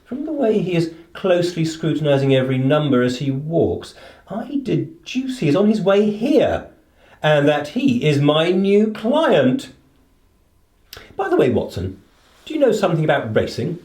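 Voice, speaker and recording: A man acts out a British gentleman detective for a radio play in a roomy, echoing space. His voice sits high and moves freely. He is observant, formal and sure of himself, questioning with precision. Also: huge pitch swings, moderate pace. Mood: observant, brisk.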